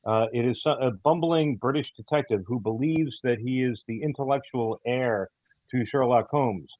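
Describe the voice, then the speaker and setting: A man giving casual interview answers as a guest writer, in a halting way full of ums and pauses. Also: mid-range voice, no echo, phone-quality sound. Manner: halting, filler-heavy delivery. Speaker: guest, audio theater writer.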